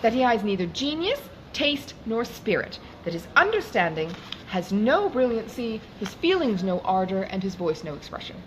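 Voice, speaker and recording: A woman voicing an ardent, scornful young gentlewoman from a period novel, in emphatic formal speech. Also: medium pitch, very animated, moderate pace, hardly any pauses, lightly acted. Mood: scornful, impassioned. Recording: clearly noisy background.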